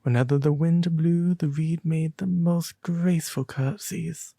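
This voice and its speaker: A man voicing a refined owl demon prince, reading a fairy tale as a soft, theatrical ASMR storybook roleplay. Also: on the high side, steady tone, dry recording, studio quality. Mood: gentle, wistful. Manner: refined, theatrical, unhurried, breathy asides.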